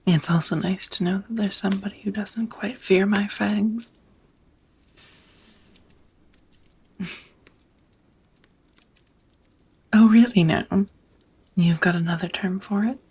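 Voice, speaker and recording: A woman playing a tender, nervous ancient vampire in an intimate ASMR romance roleplay, with hesitant, slightly old-fashioned phrasing and a whispery, husky voice. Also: mid-range voice, steady tone, normal speed, darkish tone. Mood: delighted, teasing.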